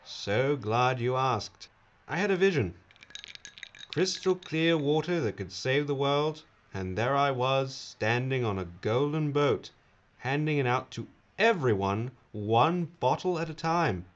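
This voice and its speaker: A man's voice: mid-range voice, lively intonation, a little slow, lo-fi sound, lightly acted. An actor playing a smug, slick, self-important billionaire businessman giving a pompous interview. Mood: pompous, salesman-like. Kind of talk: film dialogue, mock interview.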